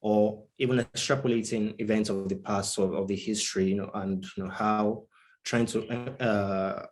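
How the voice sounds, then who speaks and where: A Nigerian man speaks in a low, fairly flat voice. He talks conversationally as a panel guest, feeling his way through phrases with frequent filler words. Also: moderate pace, a little hiss or hum. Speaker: writer, panelist. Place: online video panel.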